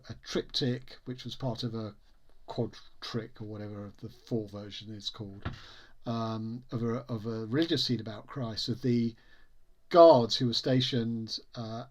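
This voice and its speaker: A man giving a casual, opinionated book review, speaking with a literary vocabulary and often breaking off and repeating words as he searches for phrasing. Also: medium pitch.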